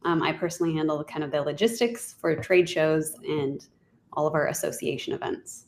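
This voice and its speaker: A woman's voice: low-pitched, steady tone, brisk, slight background noise. A woman, an events marketing manager, introducing herself on a webinar in a plain, matter-of-fact way. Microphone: video call.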